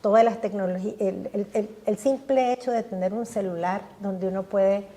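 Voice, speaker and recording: An older woman answering interview questions with eager enthusiasm. Her voice has a warm, mellow tone, and she trips over repeated words as she searches for what to say next. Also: mid-range voice, steady tone, moderate pace. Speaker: guest scientist. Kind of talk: podcast interview.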